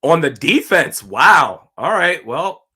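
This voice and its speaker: A man with a slightly raspy, hoarse voice, giving casual live commentary on a football game and reading out viewer comments. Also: a little high, big pitch swings, takes it slowly, bright, forceful tone. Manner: casual, talks to chat, reads comments aloud. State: hoarse from screaming. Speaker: watch party streamer.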